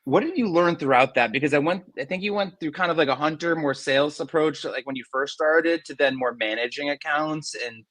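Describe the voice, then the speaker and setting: A man's voice: a little high, fairly quick, hardly any pauses, a bit bright, no room sound. A man interviewing a colleague in a conversational professional style, informal, with plenty of "kinda" and "you know". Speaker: interviewer, sales executive.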